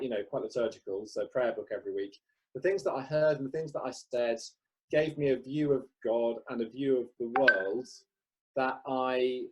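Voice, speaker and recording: Vicar, hesitant and thoughtful. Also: male voice, medium pitch, darkish tone, rough recording. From Wales. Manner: hesitant, many ums and restarts. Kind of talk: relaxed interview answers.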